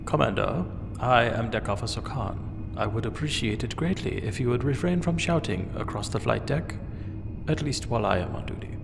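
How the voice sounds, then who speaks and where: A woman's voice: rumbling low, animated, normal speed, vocal fry, long reverb tail, background noise, voice pushed down, exaggerated voice. A woman voicing a stern, formal male deck officer who speaks in a stiff, clipped way.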